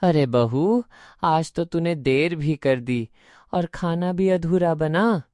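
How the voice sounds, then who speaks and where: A woman's voice: low voice, slow pace, darkish tone, no echo, clean studio sound. A woman doing a bossy cartoon mother-in-law. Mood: scolding. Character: bossy middle-aged mother-in-law, demanding. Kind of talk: cartoon voice acting.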